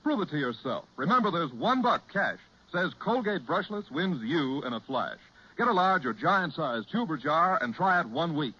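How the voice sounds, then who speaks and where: A man's voice: a little high, big pitch swings, normal speed, sharp tone, breathy edge. An American man announcing on a 1940s radio show with polished, emphatic salesmanship. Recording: muffled, noisy 1940s radio broadcast.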